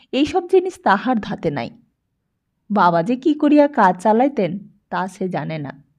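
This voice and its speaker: A woman reading a literary story as scripted audiobook narration. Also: mid-range voice.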